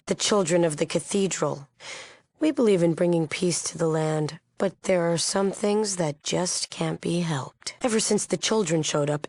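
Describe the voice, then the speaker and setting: A woman's voice: lowish voice, darkish tone, no room sound. An actress playing an earnest, resolute woman who leads a peace movement in a wasteland video game, speaking formally and firmly. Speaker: game character. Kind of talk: scripted video game dialogue.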